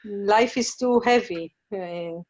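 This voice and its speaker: A woman from Slovakia asking a question on a call, speaking hesitantly with many pauses and fillers. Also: mid-range voice, a little mellow, muffled recording. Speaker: caller. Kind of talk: question from a participant.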